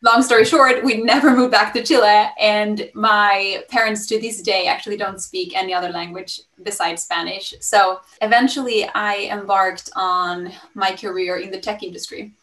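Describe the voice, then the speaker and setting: A woman in her thirties from Sweden with a Swedish accent, pitching her startup on a video call in an upbeat, fluent way with frequent ums. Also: fairly even intonation, moderate pace, hardly any pauses, a bit bright. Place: home room, indoors. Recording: roomy and slightly distant.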